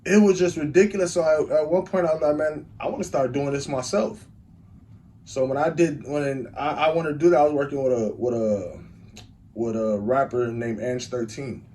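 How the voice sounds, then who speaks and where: A young man with a Chicago accent, a rapper and engineer, telling stories in a rambling, animated way as an interview guest. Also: mid-range voice, moderate pace, slight background noise. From Chicago, USA. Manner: rambling, animated storytelling with lots of "like". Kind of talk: casual storytelling interview.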